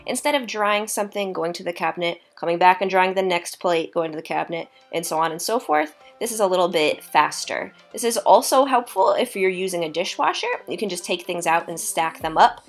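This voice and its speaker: A young woman narrating a cleaning vlog in a relaxed, explanatory voice-over, sharing household tips. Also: fairly low pitch, a little fast, hardly any pauses. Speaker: vlogger. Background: soft background music.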